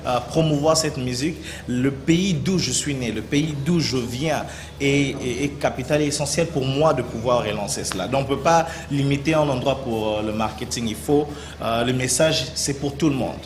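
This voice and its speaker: A man's voice: medium pitch, moderate pace, background noise. Hesitant Congolese man in roomy studio. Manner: hesitant, many "euh" fillers and restarts. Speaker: gospel singer, guest. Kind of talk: TV interview answers.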